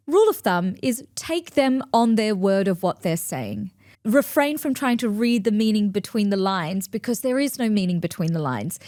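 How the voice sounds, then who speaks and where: A woman in her forties, hosting her own show and explaining ideas to listeners in a lively, expressive way. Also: fairly high pitch, no echo, studio quality. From grew up between East and West, in Australia. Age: 40s. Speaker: podcast host and speaker. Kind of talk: solo talk to listeners.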